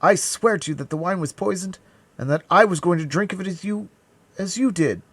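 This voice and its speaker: A man's voice: mid-range voice, big pitch swings, a little fast, husky, no room sound, voice pushed up. A man voicing an impassioned young swordsman hero, earnest and commanding, with emphatic, formal delivery in an audiobook adventure. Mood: indignant, earnest.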